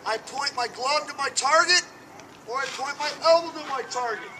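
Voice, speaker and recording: High-voiced man coaching on a field. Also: moderate pace. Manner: loud call-and-response instruction. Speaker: baseball coach. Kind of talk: outdoor coaching clinic. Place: outdoor school field. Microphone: far camera. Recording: noisy outdoor field recording.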